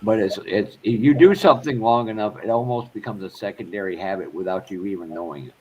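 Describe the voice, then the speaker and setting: A man from New Hampshire trading stories in a casual group chat, talking quickly and playfully. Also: fairly low pitch, slight background noise, poor audio. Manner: animated storytelling, quick and playful.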